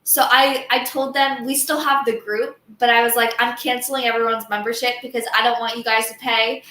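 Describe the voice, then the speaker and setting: A woman's voice: fairly high pitch, level tone, bright, forceful tone, reverberant. A woman from Southern Florida, a guest entrepreneur, talking in a chatty way and often saying "like" during an interview over a video call. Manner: chatty, fast, says "like" often. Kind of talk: casual interview.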